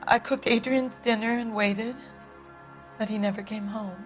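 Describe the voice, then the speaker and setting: An actress playing a forlorn, timid abandoned wife in a dramatic video game. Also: female voice, a little high, fairly even intonation, unhurried, music in the background, lightly acted.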